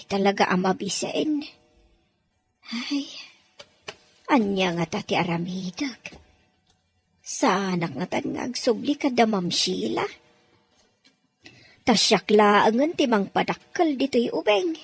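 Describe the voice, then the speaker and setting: A woman's voice: medium pitch, animated, takes it slowly, long pauses. A woman acting in an Ilocano radio drama as an anxious, kindly woman minding a baby, sighing and lamenting to herself. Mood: worried, lamenting. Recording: radio drama with music bed.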